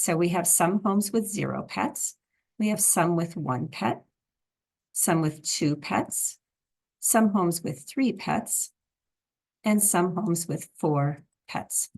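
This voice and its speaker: A woman instructor with a froggy, hoarse voice, calmly explaining a software tutorial step by step. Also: lowish voice. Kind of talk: screen-recorded software tutorial.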